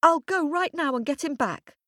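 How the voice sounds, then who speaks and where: A woman's voice: sits high, animated, moderate pace, pushed and bright, dry recording, studio quality, pitched above the performer's own voice. A woman voicing an earnest, pleading little girl in a storybook, eager and childlike. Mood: weeping, sorry.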